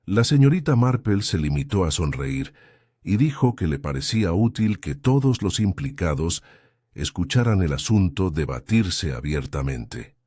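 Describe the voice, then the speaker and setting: A man narrating a mystery story in a measured way on a close studio mic. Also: sits low, a little mellow, dry recording. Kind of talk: scripted audiobook narration.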